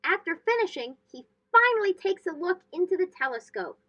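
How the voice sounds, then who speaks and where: High-pitched, animated woman reading to children. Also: brisk, lo-fi sound. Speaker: storybook reader, host. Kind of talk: expressive children's read-aloud narration.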